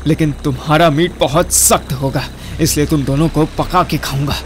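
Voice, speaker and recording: A man's voice: fairly high pitch, fairly even intonation, normal speed, a little hiss or hum, lightly acted. A man playing a gloating, sadistic cannibal killer in a horror-comedy audio drama, with a menacing, taunting manner. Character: gloating sadistic male cannibal killer savouring his hunt.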